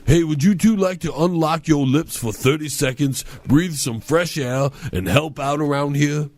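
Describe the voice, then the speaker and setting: A man's voice: on the high side, moderate pace, a little mellow, close to an everyday voice. A man playing an irritable middle-aged cartoon ringleader who bickers in a sarcastic, folksy way.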